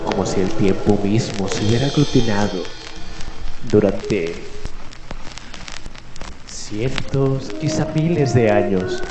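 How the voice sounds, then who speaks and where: A man narrates a dark radio drama in a lowish voice. His scripted delivery is ominous and deliberate, full of pauses, echoing over music and rain. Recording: echoey, music and rain behind.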